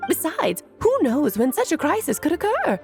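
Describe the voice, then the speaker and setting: A woman's voice: sits high, huge pitch swings, moderate pace, darkish tone, soft background music, exaggerated voice. A woman playing a playful, scheming, self-assured mage aunt in a video game, airy and teasing in a theatrical way. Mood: breezy, explaining.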